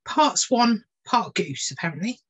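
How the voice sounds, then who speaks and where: A woman voicing a brisk, bossy male household steward in a storybook reading, officious in manner. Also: medium pitch, lively intonation, a bit bright, slightly rough, pitched above the performer's own voice, low-quality recording.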